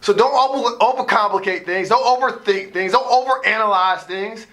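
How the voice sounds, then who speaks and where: A man's voice: sits high, normal speed, noisy background. An American man telling a personal story like a motivational speaker, theatrical, with emphatic repetition and acted-out dialogue. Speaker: motivational storyteller and student athlete advisor.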